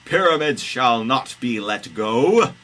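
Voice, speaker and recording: A haughty, mocking pharaoh acted by a man in a comic story reading. He exclaims pompously in a raised, forceful voice. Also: medium pitch, lively intonation, slow pace, pitched above the performer's own voice.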